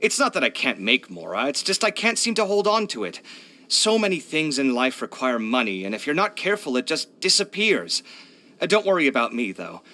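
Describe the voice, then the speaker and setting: A man's voice: a little high, a little fast. A man voicing a proud, earnest young architect for a video game, chatty and candid in his delivery. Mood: embarrassed, resigned. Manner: chatty, candid, expressive, sighing. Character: young male architect, proud, earnest, expressive, hard-luck. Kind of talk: video game character voice lines.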